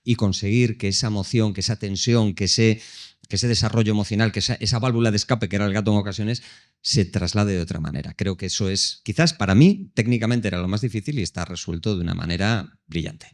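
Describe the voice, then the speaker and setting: Male panel guest, Spain Spanish, lowish pitch, chatty explainer who keeps posing questions. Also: fast. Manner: animated storytelling with frequent rhetorical questions. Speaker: panel speaker. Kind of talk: conversational panel talk.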